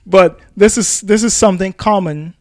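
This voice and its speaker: A man's voice: sits high, normal speed, dry recording, studio quality. A man co-hosting a casual opinion discussion, repeating phrases as he argues his point. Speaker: podcast co-host.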